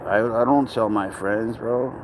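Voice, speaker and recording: A man's voice: on the low side, fairly even intonation, measured pace, noisy background. Chatty man livestreaming outdoors. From Spain. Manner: chatty, rambling, answers chat questions aloud. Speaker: livestream host. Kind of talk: casual livestream chat reading viewer comments.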